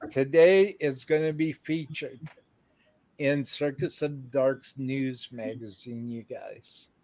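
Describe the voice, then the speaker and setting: Slow-talking upbeat livestream host man. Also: mid-range voice, steady tone, a little mellow, dry recording. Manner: upbeat, reads out chat messages, laughs often. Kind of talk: casual live-stream interview chat.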